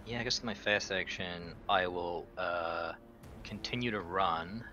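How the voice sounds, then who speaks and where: A man's voice: sits low, measured pace, pushed and bright, muffled recording, slight background noise. A man chatting informally as a player in a tabletop game, thinking aloud about his moves. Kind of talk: casual game-table chat.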